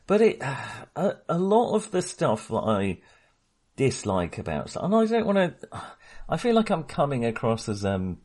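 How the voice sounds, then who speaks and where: A man's voice: medium pitch, wildly swooping pitch, a little slow, darkish tone. A man co-hosting a film podcast in casual discussion, talking hesitantly, often interrupting himself and rambling.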